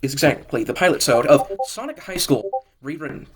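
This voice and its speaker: A man's voice: on the high side, sing-song, fast talker. Man stumbling through fan fiction narration. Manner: stumbles over lines and corrects himself. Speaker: narrator and maker of the audio drama.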